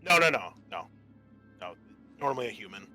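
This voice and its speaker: Bright-voiced man hosting a tabletop game. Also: lowish voice, big pitch swings, a little fast, faint music behind. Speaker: host and game master. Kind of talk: casual game debrief, explaining rules and agendas. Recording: faint background noise.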